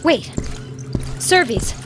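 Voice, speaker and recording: A woman playing a worried, hesitant survivor in an audio drama, earnest in manner. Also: very slow. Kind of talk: scripted audio drama acting. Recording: music and effects behind.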